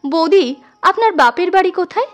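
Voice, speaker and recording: A woman's voice: extremely high pitch, lively intonation, fairly quick, pitched above the performer's own voice. A woman voicing a polite, bashful, affectionate young schoolteacher in a storybook reading. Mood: polite, curious. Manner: polite, eager, slightly shy. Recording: music under the voice.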